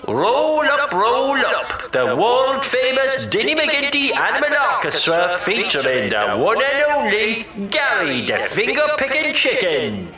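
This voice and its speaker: A man's voice: extremely high pitch, wildly swooping pitch, measured pace, hardly any pauses, heavy reverb, a loud crowd, full character voice. A man voicing a flustered farmer who runs an animal show, stumbling over his words. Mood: showy. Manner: bumbling, hesitant when nervous. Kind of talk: cartoon voice acting.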